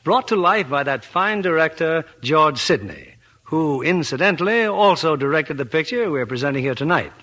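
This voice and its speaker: A man's voice: on the high side, animated, moderate pace, bright, forceful tone. A man hosting a scripted 1940s radio interview in a formal, polished manner. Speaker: radio host.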